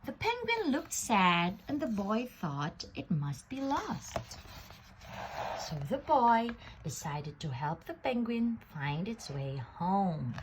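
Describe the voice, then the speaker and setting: A woman reading a children's picture book aloud in an expressive, deliberate way, drawing out words for effect. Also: mid-range voice, a little hiss or hum, rough recording. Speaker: storybook reader.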